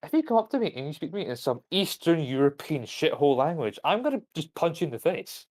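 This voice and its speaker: A man's voice: on the high side, fast. Ranting young Scottish man. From Scotland. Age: young adult. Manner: ranting, profane, animated storytelling. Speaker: university student streamer. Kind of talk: casual live-stream chat.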